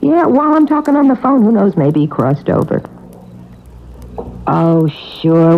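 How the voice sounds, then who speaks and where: A nagging, scheming wife in an old radio play, with a lively, jumpy delivery. The recording is thin and narrow, with noise behind her. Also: mid-range voice. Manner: indignant, flustered. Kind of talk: 1970s radio drama acting.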